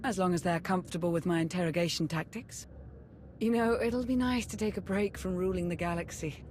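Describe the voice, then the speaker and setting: A woman's voice: on the low side, brisk, a little mellow, slight background noise, close to an everyday voice. A woman playing a cool, pragmatic spymaster in a video game cutscene, composed and precise. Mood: dry, relaxed.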